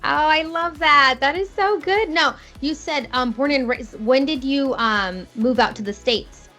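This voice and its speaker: A woman's voice: high voice, measured pace, pushed and bright, soft background music. A woman in her thirties hosting an interview podcast, bubbly and affirming, with frequent fillers. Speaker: podcast host. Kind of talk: casual interview chat.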